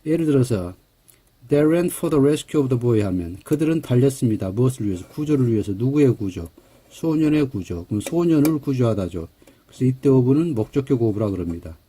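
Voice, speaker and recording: Dark-voiced man with a Jeolla accent. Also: mid-range voice, normal speed, husky. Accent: Jeolla Korean. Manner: relaxed, slightly hesitant, with fillers and self-corrections. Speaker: English grammar teacher. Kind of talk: recorded grammar lecture.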